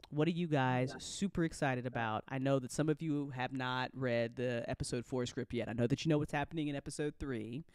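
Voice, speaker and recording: A woman hosting a casual after-show chat, telling stories in an animated way with acted-out quotes and casual swearing. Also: extremely low pitch. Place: online group voice call.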